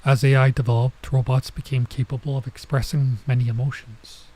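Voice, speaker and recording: A man's voice: mid-range voice, little pitch movement, brisk, dark tone. A man doing a let's play, reading a visual novel aloud and breaking off for casual side comments. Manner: stops to remark on and reread the text.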